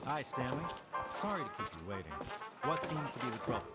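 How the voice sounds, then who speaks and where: An actor playing a friendly, easygoing doctor's assistant in a video game. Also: male voice, medium pitch, sing-song, a little fast, pushed and bright, breathy edge, faint music behind, full character voice.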